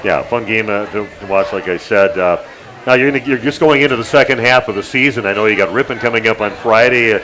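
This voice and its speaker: Halting, low-voiced radio host. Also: male voice, pushed and bright, poor audio. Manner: halting, many fillers, joking. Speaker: radio sports show host. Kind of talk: casual interview chat.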